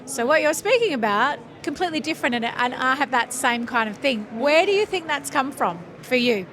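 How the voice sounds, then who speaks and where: A woman's voice: high voice, animated, a little fast, background noise. A woman hosting a podcast interview at a trade conference, chatty and enthusiastic.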